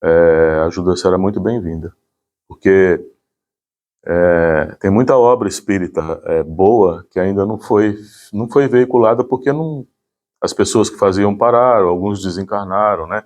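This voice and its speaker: Low-voiced man explaining informally. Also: normal speed, darkish tone. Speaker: volunteer presenter of a community project.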